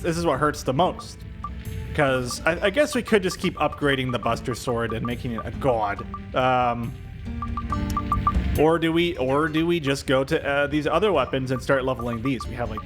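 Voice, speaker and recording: A man giving casual video game commentary, thinking aloud as he weighs his options. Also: a little high. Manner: thinking aloud, indecisive musing. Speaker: video game streamer doing a let's play. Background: video game music.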